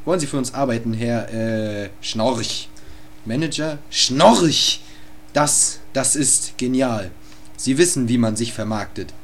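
A man's voice: medium pitch, slow pace. A man doing a pompous ad manager. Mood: pushy. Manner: pompous, drops English buzzwords. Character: pompous male advertising manager, pushy salesman.

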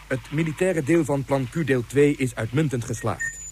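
A dutiful space-mission commander, acted by a man in a sci-fi radio play. He reports in formal, clipped military phrases at a middling pitch. There is faint noise with small clicks behind him. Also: normal speed, close to an everyday voice. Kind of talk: Dutch science fiction radio drama acting.

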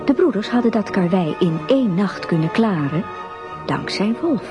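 A woman's voice: mid-range voice, expressive, normal speed. A woman narrating a fairy-tale radio drama as a scripted storyteller. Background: music.

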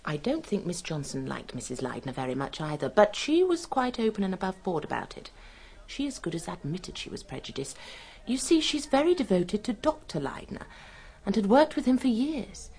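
A woman's voice: mid-range voice, sing-song, moderate pace, darkish tone. A woman playing a plain-spoken, proper nurse in a radio drama, with an English accent and a confiding, frank manner. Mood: gossipy, frank. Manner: confiding, hesitant, then frank.

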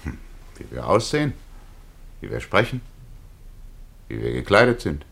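An actor playing a reflective, embittered burglar and war veteran in a 1950s radio play, soft-spoken, ironic and philosophising. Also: male voice, deep voice, sing-song, unhurried, gravelly, husky, full character voice. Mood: reflective, persuasive.

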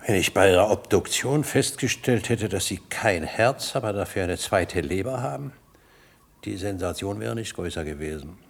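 A man acting an insistent, irascible doctor in a radio play, with a gravelly, husky voice and a precise, probing delivery. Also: low-pitched, animated, normal speed, heavily acted. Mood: pointed, measured. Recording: vintage radio play recording.

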